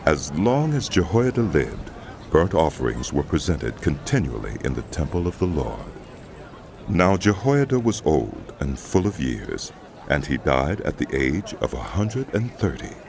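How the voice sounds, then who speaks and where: A man narrating a dramatized audio Bible in a solemn, measured storytelling style. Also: very deep voice, sing-song, background noise. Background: background music.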